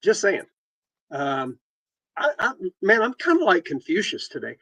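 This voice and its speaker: A man's voice: huge pitch swings, bright, forceful tone, husky, no echo, lo-fi sound. Joking man with dry close sound. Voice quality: deep voice. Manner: joking, teasing, halting restarts. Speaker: podcast host and realtor. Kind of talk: casual chat, reading headlines aloud.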